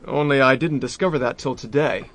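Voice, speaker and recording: An actor playing a brash, excitable young American diplomat with slangy speech. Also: male voice, mid-range voice, fairly quick, close to an everyday voice. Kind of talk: radio drama acting.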